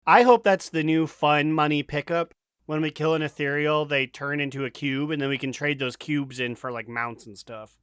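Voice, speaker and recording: A man with a fairly high voice talks casually on a podcast. The sound is dry, without room echo. He retells a story jokingly, tossing in made-up lines of dialogue. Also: steady tone, moderate pace, fairly bright tone, clean tone. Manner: joking, riffs in imagined quotes. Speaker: podcast co-host.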